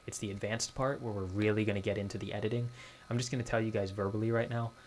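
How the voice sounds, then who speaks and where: A man from Boston runs a casual online lesson. His voice sits fairly low, and he circles back to his main points with many fillers. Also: fairly even intonation, fast talker, slight background noise. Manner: conversational, repeats key points, many fillers. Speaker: online course instructor.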